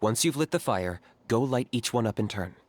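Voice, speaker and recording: Guy voicing young warrior dude in a game, medium pitch, dry and matter of fact, all business. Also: lively intonation, moderate pace, close to an everyday voice. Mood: instructive. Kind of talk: video game character acting.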